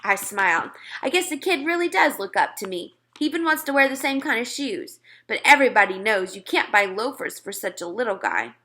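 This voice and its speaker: A woman narrating a children's story as an expressive read-aloud. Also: fairly high pitch, brisk, few pauses.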